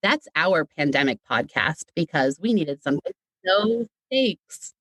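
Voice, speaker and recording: Low-pitched woman, playful and talkative. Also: sing-song, unhurried, fairly bright tone, dry recording, rough recording. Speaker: podcast guest, audio drama creator. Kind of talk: casual interview chat.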